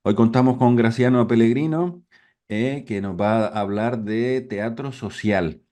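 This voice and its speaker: A man's voice: medium pitch, a little slow, dark tone. A man hosting an interview program, enthusiastic, filling the talk with affirmations and tag questions. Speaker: program host.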